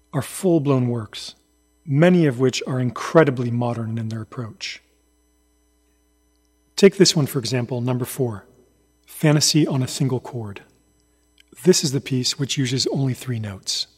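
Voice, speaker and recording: A man's voice: medium pitch, measured pace, pauses often, breathy edge. A man delivering scripted documentary-style narration as a narrator.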